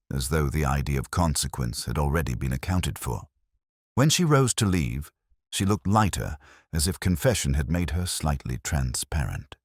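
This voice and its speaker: A man playing a melancholy, reflective police detective, narrating a literary mystery in the first person with a measured, brooding delivery. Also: rumbling low, husky, no echo, studio quality, exaggerated voice. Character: melancholy, reflective male police detective telling his story.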